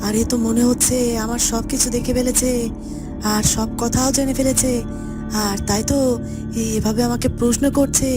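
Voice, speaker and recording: Cartoon villain: a scheming usurper king, voiced by a woman, slightly high, bossy and boastful, music bed. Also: fairly even intonation, moderate pace, natural voice. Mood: suspicious. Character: evil shape-shifter posing as a male usurper king. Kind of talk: Bengali cartoon voice acting. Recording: music under the voice.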